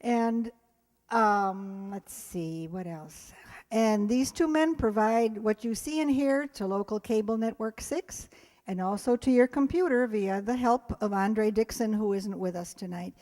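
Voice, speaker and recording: A woman's voice: mid-range voice, a little slow, darkish tone. A woman giving informal, rambling closing announcements and thanks to an audience as a community players' spokesperson. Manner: chatty, unscripted, rambling. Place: live before an audience.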